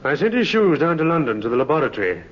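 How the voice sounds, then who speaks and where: A man's voice: on the high side, big pitch swings, fast. An actor playing a methodical police inspector in an old radio drama, businesslike and pointed in his questioning. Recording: muffled, noisy vintage radio recording.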